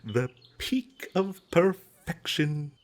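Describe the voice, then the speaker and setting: A man playing a nervous, smooth-talking captured adventurer in a comedic fantasy audio roleplay, stammering and hesitant as he tries to ingratiate himself. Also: fairly high pitch, animated, drawn-out words. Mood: flattering, nervous. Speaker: voice actor.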